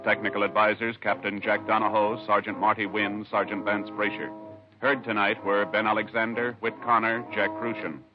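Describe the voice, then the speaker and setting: A man giving formal, measured scripted announcements in 1950s radio style. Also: on the low side, flat delivery. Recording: dull 1950s radio recording. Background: music.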